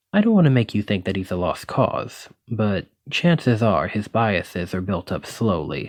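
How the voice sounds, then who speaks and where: A man playing a gentle, bookish dragon-blooded librarian and devoted boyfriend in an ASMR roleplay, speaking in an earnest, reassuring way. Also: fairly low pitch.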